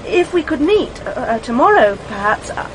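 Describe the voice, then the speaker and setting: High-voiced, guarded young British woman. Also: sing-song, normal speed, slight background noise, poor audio. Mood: guarded, confessing. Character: anxious, secretive young woman, polite but guarded. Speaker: actress in a radio detective play. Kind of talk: British radio drama acting, dialogue.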